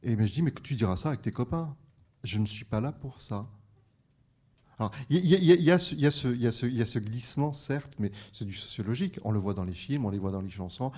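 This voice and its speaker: A man's voice: mid-range voice, fast talker, a little mellow. A man, a French teacher bilingual in French and Arabic, speaking on a discussion panel with frequent restarts and fillers. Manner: hesitant, many restarts.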